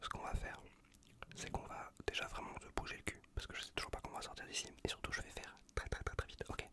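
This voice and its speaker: A man performs an ASMR examination roleplay entirely in a breathy whisper. He gives casual, colloquial instructions and soothes with gently repeated words. The voice sits close to the microphone, airy and unvoiced. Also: fast talker. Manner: casual, colloquial, reassuring instructions with soft repetition. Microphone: close USB condenser microphone.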